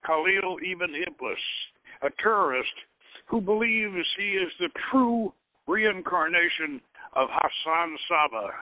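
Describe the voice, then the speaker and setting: A man hosting a radio show, reading a scripted text and his own novel aloud in deliberate phrases, as if over a telephone. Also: fairly high pitch, no echo. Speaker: radio show host and author. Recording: tinny phone-line radio broadcast.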